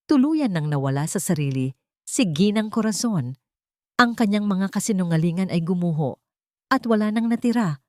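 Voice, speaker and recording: A woman's voice: fairly low pitch, darkish tone, no room sound, studio quality. A woman narrating a scripted story in a dramatic style.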